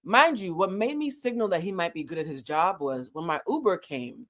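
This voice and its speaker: A woman whose first language is French tells a casual story to her viewers. She is animated and profane, and she talks straight to her audience. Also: lowish voice, moderate pace. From Boston. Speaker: channel host.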